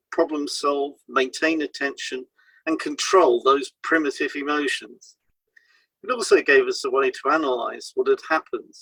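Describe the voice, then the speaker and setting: A man's voice: fairly high pitch, vocal fry, a bit bright, husky, dry recording, poor audio. A man co-hosting an educational podcast, reading scripted explanations and stressing key points. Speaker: podcast co-host, hypnotherapist.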